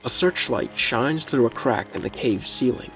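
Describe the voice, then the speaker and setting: Male story narrator over clear music. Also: medium pitch, animated, normal speed, a bit bright, rough recording.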